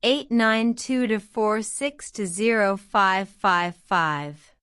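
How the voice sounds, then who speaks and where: Woman, practice-drill reader, repeats every line, unhurried, crisp and dry. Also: mid-range voice, a bit bright, dry recording. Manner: reads each line twice, slow and deliberate. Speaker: citizenship test practice narrator. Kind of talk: slow repeated question-and-answer drill.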